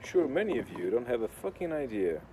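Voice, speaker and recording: A man from Portugal with a Portuguese accent rambles casually as a video host, with a deadpan, sardonic, sweary manner. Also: medium pitch, fairly quick, poor audio. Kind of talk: casual rambling talk to camera. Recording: clear outdoor urban construction noise. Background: noise of building works.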